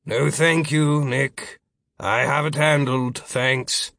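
A man's voice: medium pitch, fairly even intonation, takes it slowly, fairly bright tone, no room sound, studio quality, voice pushed up. A man voicing a weary, irritable man in an audiobook story, with a strained, emphatic delivery. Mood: weary, curt. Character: weary, irritable middle-aged man, sneering and exasperated.

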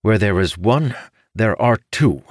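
A man's voice: lowish voice, animated, a little slow, no echo, studio quality, voice pushed up. A man voicing a spoiled, hot-tempered younger brother in an audiobook, terse and sarcastic. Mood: sullen, sarcastic. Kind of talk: audiobook character voice.

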